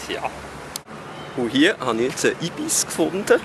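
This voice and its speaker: A man's voice: fairly high pitch, wildly swooping pitch, normal speed. A man giving casual, wry travel vlog commentary while out and about in a city. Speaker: travel vlogger. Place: outdoors in a city park and streets. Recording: very noisy outdoor street and park audio.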